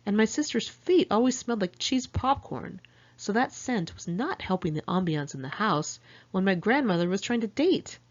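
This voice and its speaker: A woman's voice: mid-range voice, lively intonation, normal speed, lo-fi sound. A middle-aged woman narrates her own memoir in the first person, candid and wry with sardonic asides. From California, United States. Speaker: author reading own memoir. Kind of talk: first-person audiobook narration, diary storytelling.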